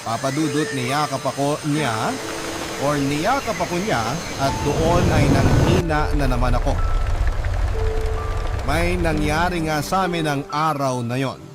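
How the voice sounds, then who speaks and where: A man's voice: on the high side, measured pace. A man with a Filipino accent narrating a love story in the first person, as a host reading out a letter. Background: loud music.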